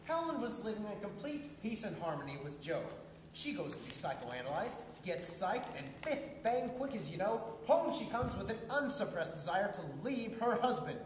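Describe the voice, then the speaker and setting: A man's voice: high voice, very animated, normal speed. An exasperated, sardonic husband played by a man mocks theatrically, his voice swinging widely up and down. The amateur stage performance is caught by a distant mic in a noisy, reverberant hall. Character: sarcastic, exasperated husband mocking his wife's fad. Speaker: amateur actor. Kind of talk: amateur stage-play rehearsal.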